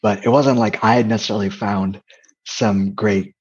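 A soft-spoken man answers interview questions in a reflective way, often stopping and restarting his thoughts. His voice sits fairly low and has a husky, breathy grain. The recording sounds dull and lo-fi. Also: steady tone, moderate pace. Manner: hesitant, with frequent restarts. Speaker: guest, dating coach and comedian.

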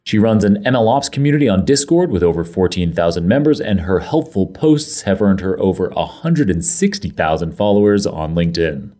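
A man's voice: low voice, big pitch swings, fairly quick, few pauses, dark tone. A man hosting a tech podcast, reading an enthusiastic scripted introduction and then chatting casually with his guest. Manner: enthusiastic and effusive. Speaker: podcast host. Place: home studio, guest on a remote call.

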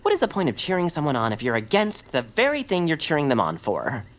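A cautious, principled young boy, earnest and precise. The voice is lowish but swings widely, in family audio drama style. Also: wildly swooping pitch, fast talker. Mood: hesitant, polite.

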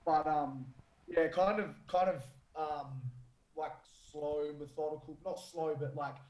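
Male fight coach, hoarse and croaky, stop-start casual delivery, fairly high voice, echoey recording. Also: steady tone, a little mellow. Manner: halting, lots of fillers and restarts. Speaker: fight gym trainer. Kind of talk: casual podcast chat. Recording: roomy echo.

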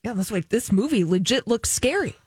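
A woman's voice: fairly high pitch, expressive, a little fast, darkish tone, slightly rough, no echo, clean studio sound. A woman co-host making short, casual remarks in morning radio banter. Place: radio studio.

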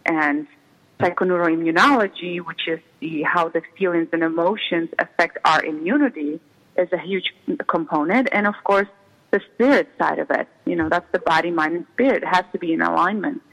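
A middle-aged Czech woman with a Czech accent, speaking earnestly and haltingly as a guest in a conversational interview. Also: on the low side, a bit bright, dull audio. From Czech Republic. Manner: earnest, halting, repeats phrases for emphasis. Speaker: interview guest, intuition healer.

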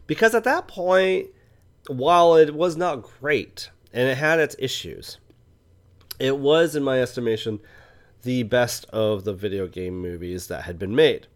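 Guy on a movie podcast, mid-pitched voice, reviewing stuff casually, stops and starts mid-thought. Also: unhurried. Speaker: podcast co-host and film reviewer. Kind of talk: casual film discussion.

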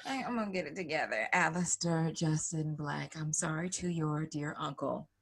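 Low-voiced woman co-hosting a podcast. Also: normal speed, fairly bright tone, a little hiss or hum. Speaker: podcast co-host. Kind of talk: casual banter and hosting.

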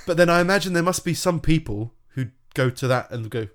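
A man's voice: a little high, lively intonation, fairly quick, a bit bright. Rambling British man chatting casually. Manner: rambling, thinks aloud with many restarts. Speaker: podcast co-host. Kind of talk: casual chat.

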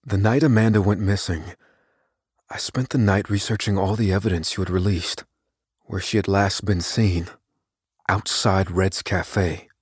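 An actor playing an unassuming, secretive young computer whiz, giving a guarded, deliberate confession in an audio drama. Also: male voice, sits low, fairly even intonation, breathy edge, no room sound, clean studio sound. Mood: grave, matter-of-fact. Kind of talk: dramatic first-person audio fiction narration.

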